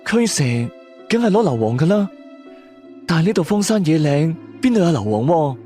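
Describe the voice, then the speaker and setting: A principled, worried companion in a supernatural audio drama. The Cantonese-accented voice is high and swings animatedly, earnest and exasperated, with faint music underneath. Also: male voice, very animated, moderate pace, dark tone. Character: worried, principled companion who scolds and questions.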